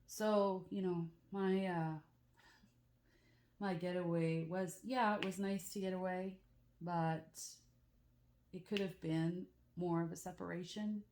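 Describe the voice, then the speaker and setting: A woman chatting casually at home in a meandering, confiding way, her voice rough and congested from a cold. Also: lowish voice, steady tone, slow pace, a little mellow, a little hiss or hum. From Canada. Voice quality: rough, croaky. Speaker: craft vlogger. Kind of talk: casual chat while crafting. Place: at home with the back door open.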